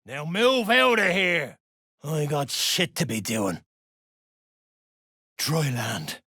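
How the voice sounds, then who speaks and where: Husky, blunt duergar clan fighter. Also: medium pitch, sing-song, slow, pushed and bright, no room sound, clean studio sound, full character voice. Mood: gruff, wary. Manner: coarse, clipped orders in dialect. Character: rough, blunt duergar dwarf clan fighter, irritable scavenger. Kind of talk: video game character voice acting.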